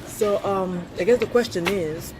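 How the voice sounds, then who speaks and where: A woman's voice: medium pitch, measured pace, poor audio. A woman in her thirties from Switzerland, whose first language is French, speaking with a Swiss French accent with a touch of British. She talks bluntly and chattily in a casual vlog, laughing often and swearing freely. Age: 30s. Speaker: vlogger.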